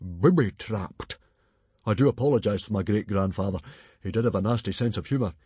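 A man doing a booming, blustering chieftain. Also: a little fast, mellow, slightly rough, dry recording. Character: loud blustering male chieftain, not too bright.

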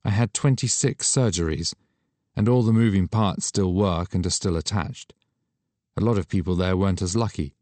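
Man reading a quiet, weary young woman. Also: deep voice, normal speed, no echo, natural voice. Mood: subdued. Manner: quiet, understated. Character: young woman, retired model, subdued, weary.

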